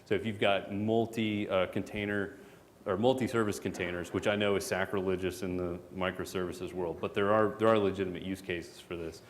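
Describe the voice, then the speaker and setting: A man giving a relaxed, joking conference talk as a product manager, full of fillers. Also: low-pitched, brisk. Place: conference hall. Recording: hall reverb, faint background noise.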